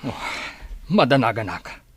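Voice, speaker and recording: A man's voice: medium pitch, sing-song, measured pace, slight background noise. A man acting a worried father in an Ilocano radio drama. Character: worried father and boss, anxious about his child.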